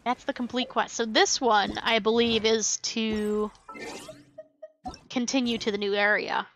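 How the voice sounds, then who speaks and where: A woman's voice: a little high, steady tone, a little slow, fairly bright tone, faint music behind. A woman livestreaming a game, chatting casually and cutely to her viewers as she plays. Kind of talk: casual livestream chat while playing.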